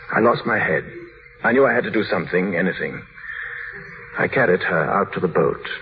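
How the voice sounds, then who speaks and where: An actor in an old radio drama playing a tormented husband making a grave, halting, dramatic confession on a muffled, noisy vintage radio recording. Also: male voice, low-pitched, natural voice. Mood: despairing.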